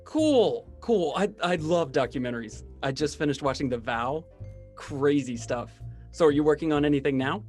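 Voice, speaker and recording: A casual, agreeable man played by an actor makes small talk in an audio drama. His voice sits fairly high, with light music behind. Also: lively intonation, moderate pace, a little mellow, close to an everyday voice.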